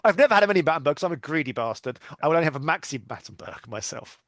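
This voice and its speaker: A man's voice: fairly high pitch, expressive, very fast, a bit bright, no echo, studio quality. A middle-aged British man chatting casually, blunt and self-deprecating, as a podcast guest. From United Kingdom. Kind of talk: casual chat.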